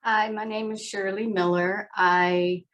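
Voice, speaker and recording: Slow, even woman in her sixties. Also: medium pitch, muffled recording. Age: 60s. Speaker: patient engagement staff. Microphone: video call.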